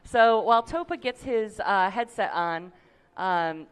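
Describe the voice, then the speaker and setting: A woman hosting a casual livestream chat, upbeat and quick to laugh, with a slightly tired voice. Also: medium pitch, lively intonation, smooth. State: tired voice, a little deep. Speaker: host.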